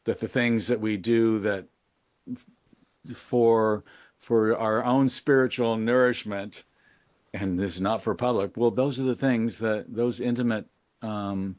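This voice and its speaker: Low-voiced man, musing and close-sounding. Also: fairly even intonation, a little mellow, no room sound. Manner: musing, halting, colloquial. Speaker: interview guest, musician and memoir author. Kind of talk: reflective conversational interview.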